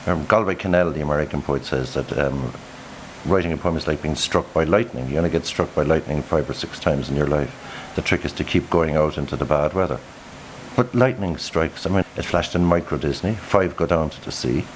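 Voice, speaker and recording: A man playing an earnest, reflective literary interviewee in a mock documentary, musing in a halting, thoughtful way. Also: extremely low pitch, a little hiss or hum, low-quality recording.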